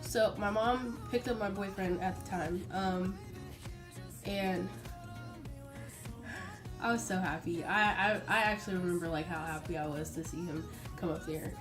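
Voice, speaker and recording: A young adult American woman telling a personal story casually to her viewers in an informal, animated way. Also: fairly low pitch, a little slow, pauses often, music in the background. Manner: chatty, informal, animated retelling with acted-out quotes. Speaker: vlogger.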